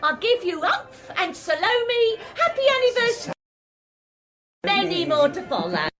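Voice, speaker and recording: Tipsy cousin, extremely high voice, wildly swooping, insistent, gushing, radio play, music and clinking glasses behind. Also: female voice, wildly swooping pitch, measured pace, fairly bright tone, heavily acted. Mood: gushing, merry. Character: shrill, tipsy, insistent female cousin at party. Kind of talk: radio drama acting.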